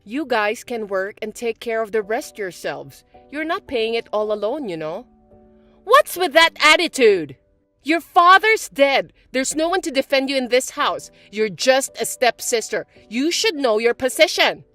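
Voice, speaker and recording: Perempuan memerankan adik tiri yang melengking dan sombong. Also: female voice, very animated, a little slow, sharp tone, faint music behind, full character voice. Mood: angry. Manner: shrill, mocking and bossy. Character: bratty, spiteful young adult stepsister. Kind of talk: voiced text-message drama.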